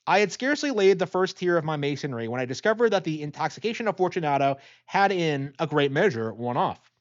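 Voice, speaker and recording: A man's voice: fairly high pitch, sing-song, a little fast, muffled recording. A man reading a gothic story as a dramatic audiobook narrator.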